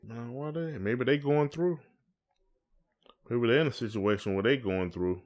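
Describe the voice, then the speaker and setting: A man's voice: mid-range voice, measured pace, a little mellow. A middle-aged American man hosting a podcast, chatting in a colloquial, reflective, conversational way. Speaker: podcast host. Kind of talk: casual chat.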